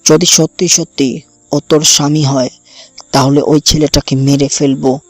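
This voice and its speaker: A man's voice: fairly high pitch, level tone, moderate pace, dry recording, faint music behind. A man playing a possessive, threatening young campus bully in an audio drama, speaking with commanding contempt. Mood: menacing. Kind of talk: audio story acting.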